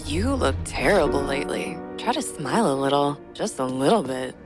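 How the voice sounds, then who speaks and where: A woman's voice: deep voice, animated, normal speed, music in the background. An actress playing a teasing, wistful woman in a noir video game, speaking in a playful, intimate way. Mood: wistful, coaxing.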